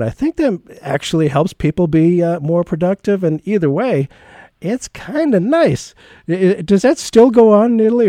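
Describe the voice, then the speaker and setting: Man, radio talk host, warm mellow tone, dry close studio sound, rambling casual questions. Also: mid-range voice, no room sound. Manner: rambling, many fillers and restarts. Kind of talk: casual interview chat.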